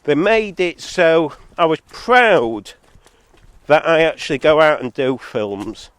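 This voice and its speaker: A man's voice: animated, takes it slowly, a bit bright, clean tone, no echo. Sardonic man with a head cold, clipped audio. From Zambia-born, living in England. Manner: argumentative, sardonic, wandering asides. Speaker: solo video maker. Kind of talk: rambling outdoor vlog monologue. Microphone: phone or camera, recorded too hot. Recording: distorted, clipping from high recording level.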